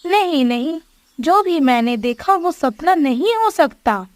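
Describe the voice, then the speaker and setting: A woman's voice: sits high, expressive, takes it slowly. A woman voicing chatty, earnest female animals in a children's cartoon fable. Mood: puzzled.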